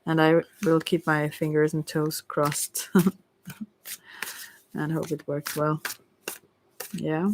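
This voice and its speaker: A tarot reader giving casual, gently encouraging spiritual advice in an ASMR livestream, rambling hesitantly and often trailing off mid-thought. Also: medium pitch, level tone, extremely slow, long pauses, a little hiss or hum, low-quality recording.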